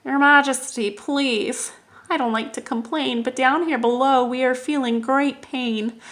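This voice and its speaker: A woman voicing a meek, plain little male turtle who groans and pleads softly. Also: high voice, fairly even intonation, a little slow, pitched above the performer's own voice. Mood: pleading.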